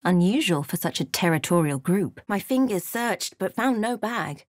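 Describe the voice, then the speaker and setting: A brooding woman adventurer from a fantasy game, played by an actress. She is watchful and speaks in measured, dramatic phrases, often hushed. The recording is close and dry, with no room around her. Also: mid-range voice, expressive, dry recording, natural voice. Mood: solemn, watchful. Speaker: video game voice actor. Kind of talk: dramatic narrated game voice lines.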